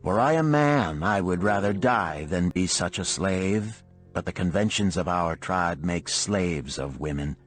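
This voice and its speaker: A man's voice: deep voice, lively intonation, measured pace, faint music behind. A man voicing a stern, cold older woman, a chieftain's wife in a storybook, with blunt, formal, old-fashioned speech. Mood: confiding. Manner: formal archaic phrasing, imperious.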